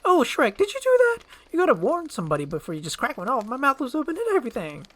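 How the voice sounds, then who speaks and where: A performer voicing an excitable male donkey sidekick in a fairy-tale roleplay, talkative, pestering and cheeky. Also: high voice, fast, voice pushed up, full character voice. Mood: disgusted.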